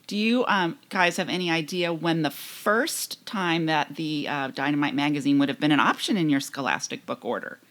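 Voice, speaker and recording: Woman, 50s, American, notably low pitch, casual trivia-sharing podcast co-host. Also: moderate pace, few pauses, a bit bright.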